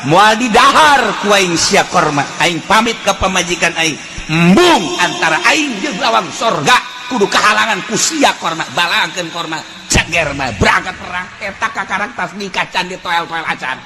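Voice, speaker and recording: High-pitched Sundanese-accented male preacher. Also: normal speed, few pauses, noisy background. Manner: animated, shifting between chanting, shouting and folksy asides. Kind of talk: fiery religious sermon with storytelling.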